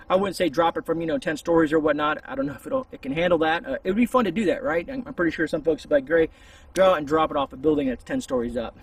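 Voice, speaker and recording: A man with a gravelly, husky voice giving a casual, rambling, hesitant product review outdoors, a little distance from the camera. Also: on the high side, slight background noise. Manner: informal, hesitant, meandering. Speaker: YouTube reviewer. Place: outdoors among trees. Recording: voice distant from the mic.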